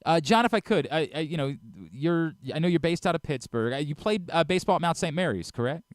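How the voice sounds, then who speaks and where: A man's voice: fairly high pitch, animated, quick pace, dry recording. Casual, chatty male radio host. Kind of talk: radio interview.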